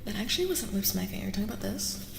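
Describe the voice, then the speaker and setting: A woman speaking softly and gently in casual ASMR style as a streamer reading tarot, often dropping to a whisper, close to the microphone. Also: medium pitch, sing-song, racing, creaky, slight background noise. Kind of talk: soft-spoken ASMR, casual live chat. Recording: reverberant echo with music behind. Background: music.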